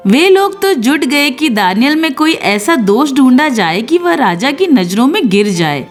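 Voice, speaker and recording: High-voiced, animated woman narrating Bible stories. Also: unhurried. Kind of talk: scripted devotional narration. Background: background music.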